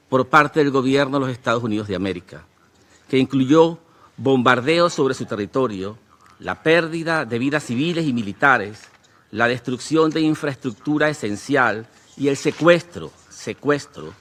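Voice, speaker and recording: Male narrator, mid-range pitch, solemn and measured, formal scripted read. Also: a bit bright.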